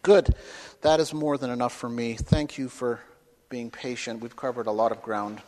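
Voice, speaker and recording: A man giving a public lecture and taking questions, speaking emphatically and building to loud, forceful peaks. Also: medium pitch, steady tone, normal speed, noisy background. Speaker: speaker at a talk. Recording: reverberant hall.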